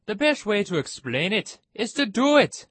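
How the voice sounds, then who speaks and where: A woman's voice: mid-range voice, very animated, moderate pace, husky, no room sound. A woman voicing a solemn, pompous dodo in a storybook, speaking in a ponderous and formal manner. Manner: ponderous, formal, long-worded. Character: solemn, pompous old dodo making pronouncements.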